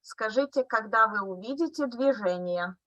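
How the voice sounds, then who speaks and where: A woman's voice: on the high side, fairly bright tone, no room sound, muffled recording. A woman whose first language is Russian, a physician, patiently coaching colleagues through phrases on a video call. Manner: slow, patient coaching with laughs. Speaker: physician teaching stroke exam phrases. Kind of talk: online teaching session. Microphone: computer mic on a video call.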